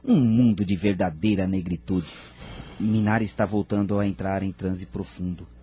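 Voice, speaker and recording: A man playing a wise, grave keeper of his people's history in an audio drama, husky and measured as he explains. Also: sits low, steady tone, dark tone. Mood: grave, explaining.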